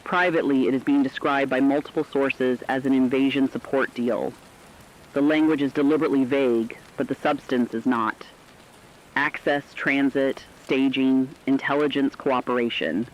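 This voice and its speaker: A man's voice: mid-range voice, steady tone, normal speed, highs cut off, lightly acted. A man playing a serious radio correspondent, giving a scripted emergency war report with formal, emphatic, clipped phrasing. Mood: serious, urgent.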